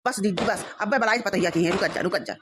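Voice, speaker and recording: A woman's voice: mid-range voice, fairly quick, background noise, lightly acted. A woman with an Awadhi accent voicing a flustered, fawning village mother-in-law in a comedy cartoon, talking effusively and repetitively. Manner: effusive, repetitive, swinging to shouted orders. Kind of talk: rural family comedy cartoon.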